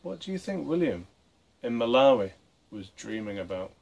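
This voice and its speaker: A man reading a story aloud for children, with expressive, deliberate phrasing. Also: mid-range voice, gravelly. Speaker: storybook reader and presenter.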